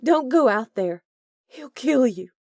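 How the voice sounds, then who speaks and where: A woman voicing a frightened but fierce young woman who speaks in a high, urgent voice. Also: animated, slow, a little mellow, dry recording, studio quality, heavily acted. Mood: pleading.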